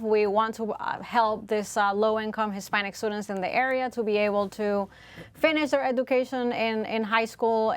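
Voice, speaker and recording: A woman from Venezuela talks earnestly about her foundation's work on a TV talk show. She pauses on "uh" often as she explains. Her voice is smooth and clear, recorded dry and close. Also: medium pitch, no room sound. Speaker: guest, foundation representative. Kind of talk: studio interview. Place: TV studio.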